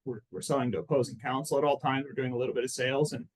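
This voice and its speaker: A man's voice: medium pitch, very fast. Chatty young male lawyer from Phoenix. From Phoenix, Arizona. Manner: relaxed and chatty, lots of "like" and "I mean". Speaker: personal injury lawyer, guest. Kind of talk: casual interview answers.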